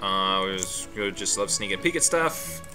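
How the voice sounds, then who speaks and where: A man's voice: low-pitched, lively intonation, moderate pace, over music. A man hosting a let's play, reading the game text aloud with his own asides and chuckles.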